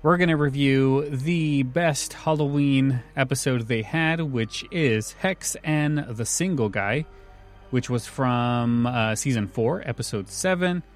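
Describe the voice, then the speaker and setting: A man hosting a casual podcast, his voice worn hoarse, chatting and laying out facts with a chuckle. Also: mid-range voice. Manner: relaxed, informative, chuckles often. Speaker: podcast host.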